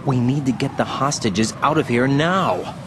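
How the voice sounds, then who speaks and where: An actor playing an earnest young soldier in a video game, urgent and questioning in a military manner. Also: male voice, mid-range voice, normal speed, noisy background, natural voice. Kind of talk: dramatic video game voice acting.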